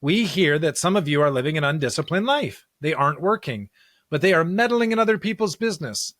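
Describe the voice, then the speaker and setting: A man's voice: fairly high pitch, expressive, fairly quick, a bit bright, no room sound, studio quality. A man hosting a Bible podcast, explaining and reading scripture in an earnest, emphatic way. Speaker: podcast host discussing scripture.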